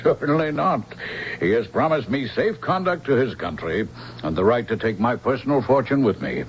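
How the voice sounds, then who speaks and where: An actor playing a haughty, treacherous emperor, pompous and smooth-talking, in a radio drama. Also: male voice, mid-range voice, lively intonation, normal speed, natural voice. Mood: smug. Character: smug, treacherous male emperor, haughty schemer. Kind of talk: radio drama villain acting. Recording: dull lo-fi radio recording, faint hiss.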